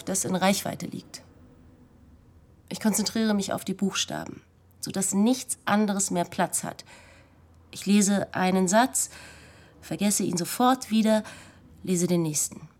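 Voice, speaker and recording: A woman's voice: mid-range voice, lightly acted. A woman playing a restless young pregnant woman in a first-person radio drama monologue, told plainly. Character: unexpectedly pregnant woman, restless, escaping into bodily sensation.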